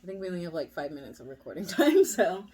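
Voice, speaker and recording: A woman's voice: fairly low pitch, very animated, fast talker, darkish tone. A young woman vlogger chatting casually, full of "like" and "you know". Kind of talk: casual chat.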